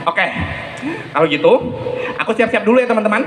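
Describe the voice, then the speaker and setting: A woman voicing a helpful, slightly hesitant monkey in an animated way for a children's story. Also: medium pitch, fast talker, lightly acted. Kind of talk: live children's storytelling character voice. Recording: echoey hall, faint background noise.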